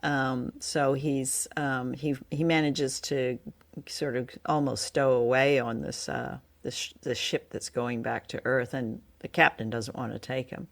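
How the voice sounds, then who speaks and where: A woman's voice: extremely low pitch, steady tone, unhurried, creaky. A woman co-hosting a podcast, chatting casually through a review and often searching for her words. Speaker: podcast co-host.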